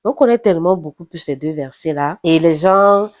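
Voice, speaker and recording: A woman's voice: fairly low pitch, fairly quick, no echo. Woman, nasal from flu, casual Bible reflection, dry close sound. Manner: thinks aloud, with restarts and rising excitement. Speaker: Bible reader sharing reflections.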